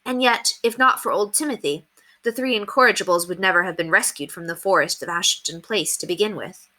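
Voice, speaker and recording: Female storyteller, expressive read-aloud, bright tone, slightly low pitch, children's book narration. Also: a little fast.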